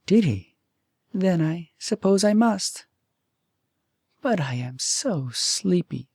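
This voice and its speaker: Storybook princess, played by a man: young, nonchalant, condescending, drowsy detachment, creaky edge. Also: sits high, expressive, takes it slowly, darkish tone, dry recording, clean studio sound, pitched above the performer's own voice, full character voice. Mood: cool, sleepy. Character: languid young princess, cool, careless, sleepy, condescending.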